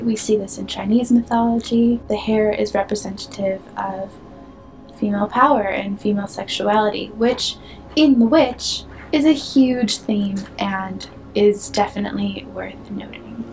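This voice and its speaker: A young woman hosting a film analysis video, chatty with playful asides, slightly hoarse from losing her voice. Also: mid-range voice, darkish tone, low-quality recording. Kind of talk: film analysis talk to camera.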